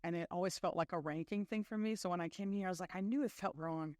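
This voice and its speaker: A woman's voice: fairly low pitch, fast talker, darkish tone, no room sound, clean studio sound. A middle-aged woman from Brazil giving a chatty conference talk, full of fillers and self-deprecating asides. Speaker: conference speaker.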